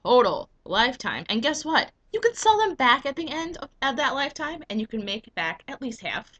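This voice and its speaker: A woman's voice: high voice, sing-song, moderate pace, fairly bright tone. A young woman with a cold, making an earnest, emphatic case to her webcam with lots of rhetorical questions. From United States. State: getting a cold. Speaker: vlogger and business owner. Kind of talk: persuasive webcam vlog. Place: small indoor room at home. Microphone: webcam at desk.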